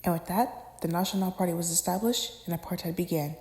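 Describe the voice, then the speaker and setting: A woman's voice: on the low side, fairly even intonation, brisk, darkish tone. A woman giving scripted educational narration, recorded in a reverberant room. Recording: echoey room with long reverb.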